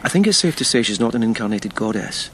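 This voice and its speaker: An actor playing a blunt, sceptical but protective army lieutenant in a radio drama, plain-spoken and a little husky. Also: male voice, fairly low pitch, sing-song, fast talker, a little hiss or hum.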